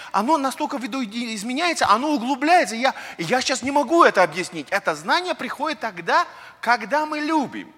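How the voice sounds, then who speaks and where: A man's voice: sits high, bright, forceful tone. A middle-aged man preaching a conversational sermon, earnest and full of rhetorical questions, sometimes stumbling over words and restarting. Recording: long reverb of a large hall.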